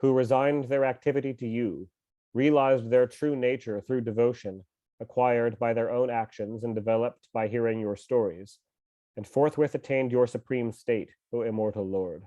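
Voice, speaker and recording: A man who is mildly ill with a cough reads a scripture translation aloud at home, in a measured, slow recitation with pauses. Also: mid-range voice, fairly even intonation, dark tone, no echo, lo-fi sound. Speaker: scripture reader and teacher.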